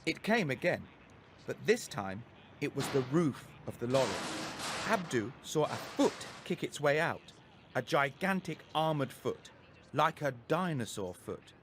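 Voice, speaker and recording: A man's voice: fairly high pitch, big pitch swings, a little slow, background noise. A man narrating a children's story dramatically from a script.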